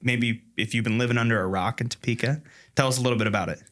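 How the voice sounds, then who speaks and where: A man's voice: medium pitch, very fast, fairly bright tone. A man co-hosting a podcast, asking guests questions in a casual, chatty way. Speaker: podcast co-host. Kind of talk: casual interview chat.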